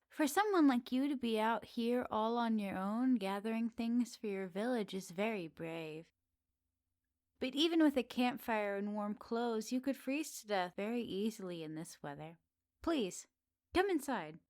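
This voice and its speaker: A flirty witch of the woods, played by a woman, speaks straight to the listener in an ASMR roleplay. She is unhurried, teasing and gently doting, with quaint good manners. The sound is dry and intimate. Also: a little high, expressive, no echo. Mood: concerned. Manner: teasing, gently doting, slightly old-fashioned politeness.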